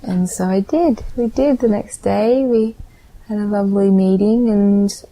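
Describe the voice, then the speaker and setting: A woman guest speaks in a slow, unhurried way. Her voice is dark and mellow, with a gravelly fry. She talks softly and dreamily, with a heartfelt tone. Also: medium pitch, poor audio. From Australia. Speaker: guest musician. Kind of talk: casual interview storytelling.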